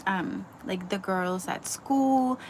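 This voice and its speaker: A young woman from the Dominican Republic talks through a devotional lesson like an informal chat. She is earnest and keeps circling back with likes and ums. Her voice sits at an ordinary middle pitch, with a faint hum of room ambience behind her. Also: slow, darkish tone, rough recording. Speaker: Bible teacher. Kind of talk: casual devotional teaching.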